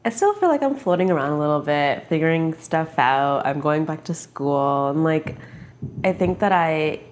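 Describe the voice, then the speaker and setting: Woman, mid 20s, interviewee; very low voice, casual and searching. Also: expressive, normal speed. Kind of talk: documentary interview.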